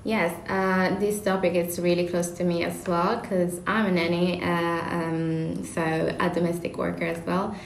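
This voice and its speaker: A woman's voice: lowish voice, flat delivery, measured pace, vocal fry, hardly any pauses. A young woman from Italy with an Italian accent, hosting a student podcast discussion and stumbling over words as she presents. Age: young adult. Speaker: student host. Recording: echoey room with faint noise.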